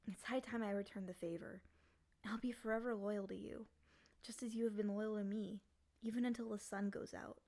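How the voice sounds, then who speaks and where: A woman playing a lonely, obsessively devoted demon in an intimate ASMR roleplay, speaking softly and often slipping into whispers. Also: mid-range voice, steady tone, moderate pace, natural voice. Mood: devoted, tender. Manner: soft, doting, often dropping into breathy whispers. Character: lonely, obsessively devoted female yandere demon, once sealed.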